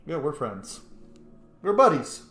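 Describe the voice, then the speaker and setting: A man's voice: on the high side, big pitch swings, takes it slowly, fairly bright tone, faint music behind. A man giving casual, blunt and often profane commentary while roleplaying through a video game. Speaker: gaming video creator. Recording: roomy echo on the voice.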